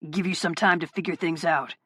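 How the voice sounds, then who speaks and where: A woman voicing a gruff young man who is teasing yet warm, in a low, relaxed and quietly teasing manner, as an audiobook character voice. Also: brisk, no echo, studio quality. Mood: wry.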